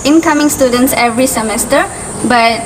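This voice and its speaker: A young woman with a Malaysian accent, chatting casually as a student panelist in halting, loose phrases. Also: on the high side. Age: young adult. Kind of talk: casual chat. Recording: clear background room noise.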